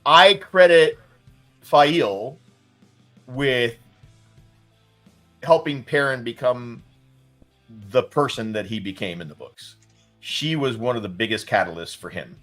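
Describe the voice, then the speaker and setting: A man in a casual fan discussion, talking in an animated, jokey way. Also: mid-range voice, slow pace, long pauses, soft background music. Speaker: podcast co-host.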